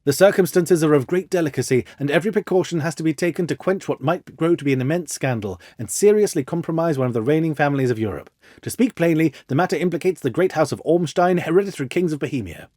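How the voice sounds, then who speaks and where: A man voicing a huge, haughty Bohemian king in an audiobook story, with a deep, harsh voice, German accent and formal manner. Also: fast, darkish tone, no echo, clean studio sound, close to an everyday voice. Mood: grave, formal. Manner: formal, imperious.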